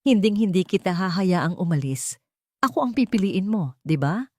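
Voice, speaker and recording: A woman voicing a hot-tempered, defiant young man in love in an audio story, forceful and emphatic. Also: on the low side, lively intonation, moderate pace, dry recording. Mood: determined.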